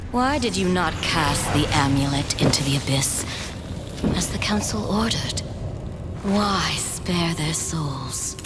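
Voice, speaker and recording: A scheming demon mother in a fantasy video game. Her voice is low and unhurried, silky and seductive, with a theatrical coaxing lilt over loud music. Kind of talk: dramatic video game acting.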